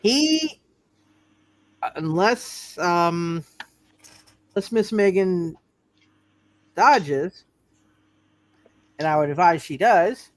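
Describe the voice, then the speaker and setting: A man narrating a tabletop game as game master, improvising in a halting way and repeating himself. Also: sits high, animated, no room sound.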